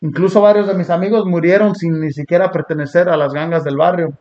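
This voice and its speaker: A man from Long Beach, California, hosting a podcast and talking in an earnest, reflective way with blunt street slang. He sits on a small dark indoor studio set. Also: a little high, fairly even intonation, quick pace. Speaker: podcast host. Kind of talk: casual reaction chat. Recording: muffled lo-fi sound.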